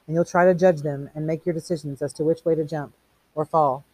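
A reader voicing an earnest male mentor who cautions in a soft, urgent way in a storybook reading. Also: medium pitch, fairly even intonation, brisk, dry recording, close to an everyday voice, low-quality recording. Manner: hushed, urgent. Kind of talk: audiobook character reading.

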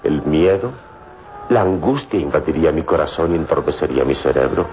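A man playing a calm, wise hero, speaking in a measured, commanding way in an old radio serial. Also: extremely low pitch. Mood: calm, resolute. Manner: solemn, measured, commanding, philosophical. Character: calm, wise, unshakable adult male hero. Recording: dull, noisy old radio recording.

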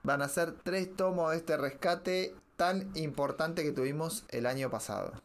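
A man's voice: a little high, measured pace, slight background noise. A man with an Argentine accent hosting a conversational news show. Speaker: podcast host. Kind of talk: conversational comics news talk.

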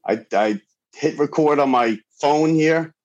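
A man's voice: a little high, unhurried, a little mellow, lo-fi sound. A man in his forties with a New York accent, chatting casually in an interview, talkative and emphatic, often repeating himself. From New York, USA. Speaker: sports podcast host, interview guest.

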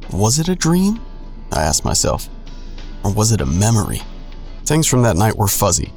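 A man's voice: lowish voice, a little mellow, natural voice. An actor playing a man who narrates his own troubled story in a first-person audio drama. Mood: reflective. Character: adult man recounting his troubled past. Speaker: narrator and main character.

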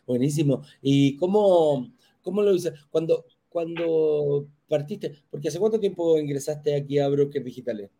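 A man's voice: on the high side, moderate pace, warm tone. A man hosting an interview, asking friendly questions and often restarting his sentences. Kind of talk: casual interview.

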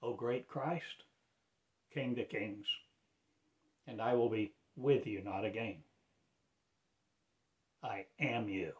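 A man delivering a slow, solemn, declamatory sermon as a self-proclaimed prophet, with sudden loud swells and hushed drops. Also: on the low side. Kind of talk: rambling prophetic monologue.

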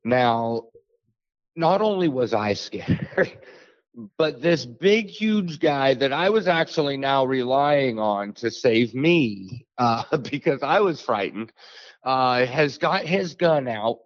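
A man, telling a frightening encounter as a podcast guest, halting with many fillers. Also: lively intonation, dry recording. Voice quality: deep bassy voice. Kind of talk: storytelling an encounter. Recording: dull lo-fi dry sound.

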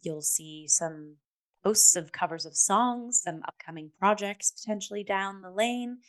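A woman's voice: mid-range voice, a little slow. A woman casually reviewing theatre as a show host, in an enthusiastic, emphatic way.

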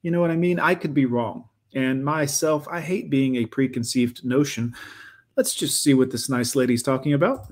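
Man, sarcastic reaction host, mid-pitched voice, mocking asides. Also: moderate pace. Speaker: commentary host reacting to videos.

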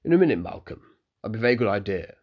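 A man voicing a genial, inquisitive old aristocratic gentleman. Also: lowish voice, sing-song, racing, highs cut off. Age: older. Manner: genial, upper-class, inquisitive.

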